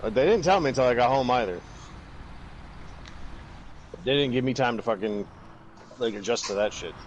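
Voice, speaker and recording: A man's voice: mid-range voice, expressive, moderate pace, dull audio. A young man chatting casually and telling stories in a crude, sweary way, acting out the dialogue as he goes. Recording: vehicle and road noise.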